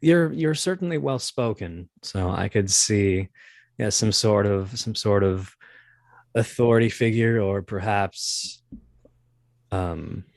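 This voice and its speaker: A man in a casual interview as a voice actor guest, talking in a halting, wry, joking way. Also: lowish voice, gravelly, a little mellow, no echo.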